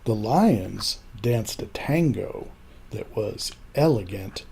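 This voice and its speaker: Older male storybook reader, husky, unhurried, expressive, rhythmic verse delivery. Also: on the low side. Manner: deliberate, rhythmic verse reading. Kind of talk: expressive children's story narration.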